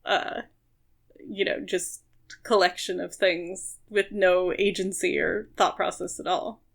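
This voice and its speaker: A woman's voice: fairly low pitch, level tone, slow, creaky. A woman co-hosting a podcast, chatting casually about a book. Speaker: podcast co-host.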